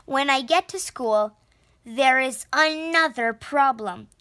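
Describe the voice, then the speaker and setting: A woman reading a children's story aloud with expressive, deliberate phrasing. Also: sits high. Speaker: storybook reader. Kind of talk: children's read-aloud narration.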